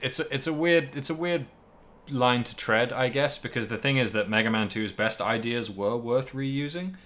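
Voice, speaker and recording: Low-voiced man rambling about video games. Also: a bit bright. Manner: rambling, hedging, thinking aloud. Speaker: podcast host. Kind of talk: casual video game discussion.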